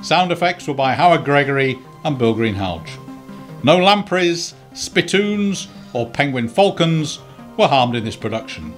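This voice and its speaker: A man's voice: fairly high pitch, expressive, unhurried, soft background music. A man reading the closing credits of an amateur radio play in a dry, wry manner.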